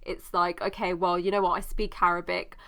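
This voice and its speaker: A woman's voice: on the low side, flat delivery, a little fast, bright, forceful tone. A woman co-hosting a casual podcast, talking reflectively with many fillers. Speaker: podcast co-host.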